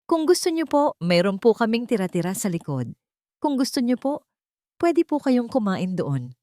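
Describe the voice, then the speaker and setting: Courteous fast-food waiter, gentle and respectful, dry read, as read by a woman. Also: fairly high pitch, big pitch swings, dry recording, voice pushed up. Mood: pitying. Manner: polite, respectful.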